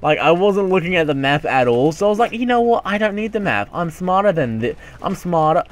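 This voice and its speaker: A man's voice: sits high, sing-song, a little fast. A man giving casual let's play commentary as a gaming YouTuber, rambling and self-mocking, often muttering to himself.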